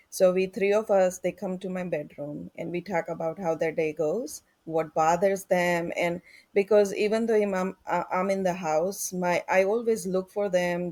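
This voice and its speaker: A woman parent talking about her daughters, halting and repeating words as she goes. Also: lowish voice, darkish tone. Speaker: parent guest. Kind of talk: casual discussion.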